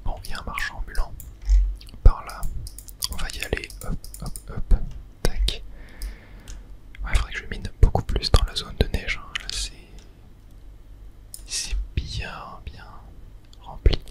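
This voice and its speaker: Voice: a little slow, pauses often. Whispered French-language ASMR gaming commentary. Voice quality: breathy unvoiced whisper. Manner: murmured thinking aloud.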